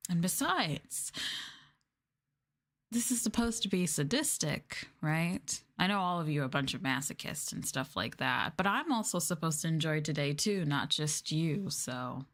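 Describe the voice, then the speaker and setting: Slow-talking, condescending woman streamer. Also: sits low, expressive, a bit bright. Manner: teasing, mocking, condescending. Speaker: VTuber streamer, host. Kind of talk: sadistic ASMR roleplay, livestream chat.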